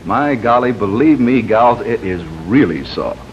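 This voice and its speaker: A man hosting and pitching products on live fifties television, in an old broadcast recording. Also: expressive, measured pace. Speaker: game show host and pitchman. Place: television studio. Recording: dull, faintly noisy 1950s TV broadcast.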